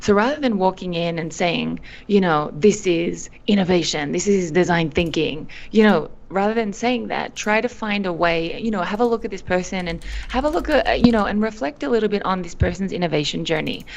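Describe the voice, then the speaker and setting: A young woman from Australia answering interview questions, animated, with frequent fillers and restarts. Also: fairly low pitch, fairly quick, vocal fry, hardly any pauses. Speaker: guest, company founder. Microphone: video call.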